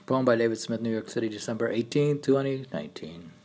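A man reading his own meditative poems in a halting way, now and then repeating or stumbling over words. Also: mid-range voice, expressive, a little mellow. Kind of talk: slow meditative poetry reading. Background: piano and keyboard music.

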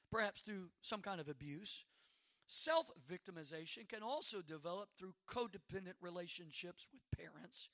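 Hoarse high-voiced preacher man. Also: bright, forceful tone, dry recording, clean studio sound. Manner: preaching with slow emphatic phrases and rising calls. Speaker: pastor. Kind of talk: sermon.

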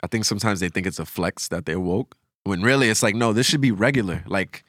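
A man's voice: lowish voice, fast talker, a bit bright, no echo, studio quality. A man talking casually as a podcast guest, reflective and slangy as he shares opinions.

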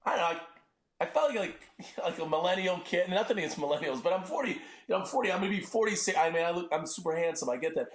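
An excitable man in his forties tells stories on a podcast, swearing freely and laughing at his own jokes. His voice sits fairly high for a man. The room around him is echoey. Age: 40s. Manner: animated, swearing, quick to joke. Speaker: podcast guest, entrepreneur and dad. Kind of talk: casual interview chat.

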